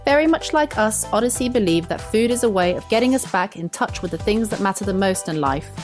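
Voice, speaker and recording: A woman's voice: medium pitch, expressive, fairly quick, faint music behind. A woman who is a chef, co-hosting a food podcast and reading a sponsor message in an upbeat way. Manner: upbeat, scripted delivery. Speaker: podcast co-host, plant-based chef.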